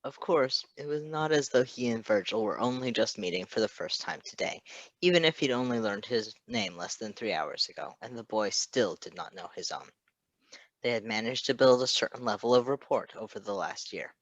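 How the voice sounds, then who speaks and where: A man's voice: medium pitch, animated, normal speed, dry recording, lo-fi sound. A man reading fan fiction aloud as a narrator, with casual asides.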